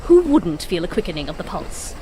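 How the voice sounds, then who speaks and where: A woman playing a brisk, witty, know-it-all time-travelling investigator in an audio drama, with a wry, breezy, playful manner. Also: lowish voice, big pitch swings, dark tone, a little hiss or hum, low-quality recording.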